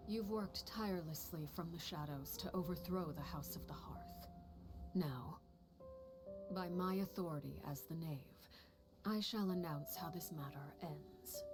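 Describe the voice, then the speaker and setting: A woman voicing a stern noblewoman who rules an orphan house, speaking in a breathy, measured, commanding tone. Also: on the low side, natural voice. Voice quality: breathy, hushed. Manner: measured, cold authority. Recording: game audio with music behind.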